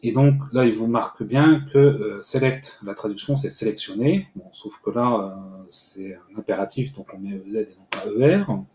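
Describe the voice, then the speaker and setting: A man hosts a screen-recorded tutorial and explains each step slowly, with frequent hesitations. His voice is mellow and warm. The room around him echoes, with a faint hiss behind. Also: fairly low pitch. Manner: hesitant, many "euh" fillers, step-by-step explaining. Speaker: tutorial host.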